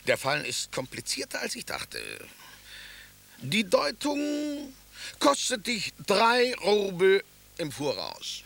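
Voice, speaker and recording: A pompous swindling fortune-teller. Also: male voice, sits high, huge pitch swings, slow, vocal fry, a bit bright, heavily acted. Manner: pompous, haughty, barking orders. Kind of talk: radio play acting.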